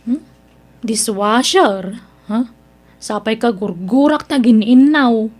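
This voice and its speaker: A woman's voice: fairly high pitch, slow pace, darkish tone. A woman acting a proud, complaining young niece in an Ilocano radio-style drama, with an Ilocano Filipino accent and a whiny, haughty manner. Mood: sulky. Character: proud young niece Mira, reluctant new restaurant dishwasher, complaining daughter.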